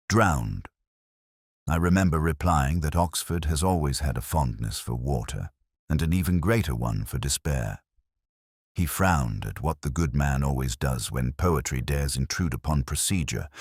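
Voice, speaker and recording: A man playing a melancholy middle-aged English police detective, narrating in a measured, literary and wistful way as if from a notebook. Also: a bass voice, no echo, clean studio sound, full character voice. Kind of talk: scripted first-person dramatic narration.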